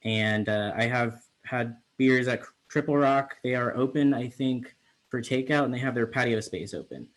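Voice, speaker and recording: A man's voice: medium pitch, moderate pace. A young man from the Bay Area introduces a virtual literary reading. His voice sits in a middle register, and he speaks in a loose, chatty way with plenty of fillers. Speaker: managing editor hosting a reading. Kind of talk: casual virtual event hosting.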